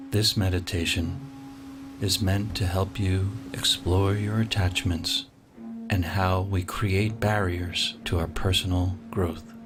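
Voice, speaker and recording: A man with a deep, low voice leads a guided sleep meditation. He talks slowly and soothingly, leaving long pauses between his gentle instructions. Soft music plays clearly underneath him.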